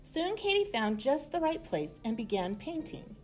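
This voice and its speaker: A woman reading a children's story aloud in an expressive manner. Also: sits high, moderate pace, soft background music. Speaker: storybook reader.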